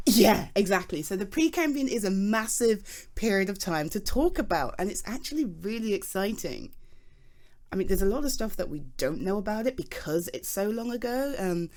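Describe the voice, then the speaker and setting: A woman's voice: mid-range voice, a little mellow, close to an everyday voice. A British woman playing an enthusiastic, self-deprecating geology nerd in an ASMR roleplay, rambling hesitantly as if chatting to a listener. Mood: earnest, thoughtful. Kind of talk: ASMR voice roleplay, improvised one-sided conversation.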